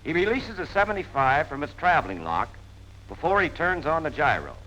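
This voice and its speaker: Lively American man narrating 1940s training film. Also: on the high side, fairly quick, dull audio. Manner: plain-spoken, punchy, colloquial instructor delivery. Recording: noisy 1940s film soundtrack.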